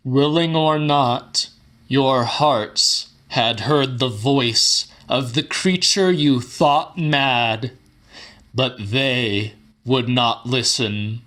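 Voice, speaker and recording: A man's voice: mid-range voice, very slow, fairly bright tone. A man giving a dramatic, scripted reading of a weird horror story, formal and theatrical in manner. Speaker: audiobook narrator.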